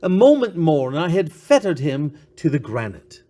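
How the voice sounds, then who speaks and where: Animated man reading a story dramatically. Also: fairly high pitch, moderate pace. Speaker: story reader. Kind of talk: dramatic literary narration.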